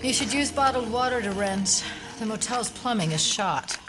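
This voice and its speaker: A woman's voice: on the high side, lively intonation, normal speed, over music, close to an everyday voice, poor audio. An actress as a serious, uneasy female agent. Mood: serious, determined. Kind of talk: audio drama acting.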